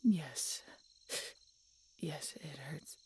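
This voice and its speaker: Casting: actress for ancient dying dragoness, low whispery voice, tender, halting and pained, audio roleplay, slightly noisy recording. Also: female voice, a little mellow, exaggerated voice. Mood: pained, grave. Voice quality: breathy, whispery. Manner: halting, weakened, pained delivery with dramatic pauses. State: weak and in pain. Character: mortally wounded centuries-old female dragon, tender and resigned. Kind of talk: dramatic audio roleplay addressed to listener.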